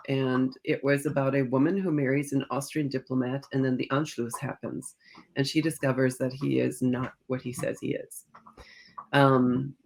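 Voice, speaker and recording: A middle-aged woman speaking as an interview guest, an author telling stories conversationally and acting out bits of reported dialogue. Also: rumbling low. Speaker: guest, historical fiction author.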